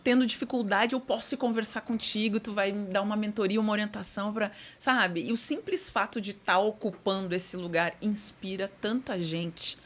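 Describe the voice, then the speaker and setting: A middle-aged woman, a guest speaking thoughtfully in an interview, often pausing and restarting with "né". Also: mid-range voice, fairly even intonation, a bit bright. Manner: thoughtful, many fillers and restarts, says "né". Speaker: guest executive. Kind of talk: conversational interview.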